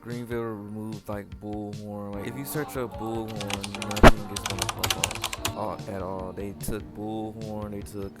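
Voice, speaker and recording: A man with a fairly low voice talks as a gaming video creator, in a casual, informal way. He repeats words for emphasis as he comments. Music plays clearly behind him. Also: level tone, measured pace. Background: background music.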